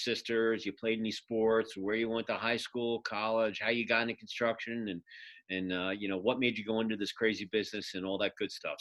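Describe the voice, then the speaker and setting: Man, podcast host, slightly low voice, from Philadelphia, informal, rambling, conversational. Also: a bit bright. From Philadelphia, United States. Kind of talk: casual conversational interview introduction.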